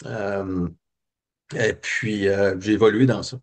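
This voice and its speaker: Un homme québécois, invité d'une entrevue, qui raconte son enfance d'un ton réfléchi, avec beaucoup d'hésitations. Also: male voice, fairly low pitch, steady tone, slow pace. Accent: Québécois French. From Quebec. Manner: reflective, many hesitations and fillers. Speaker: guest. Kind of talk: personal storytelling interview.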